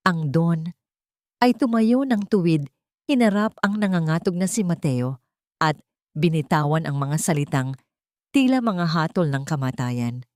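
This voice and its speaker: A woman narrates a scripted dramatic story in a solemn, theatrical manner with measured phrasing. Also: sits low, darkish tone, no echo, studio quality.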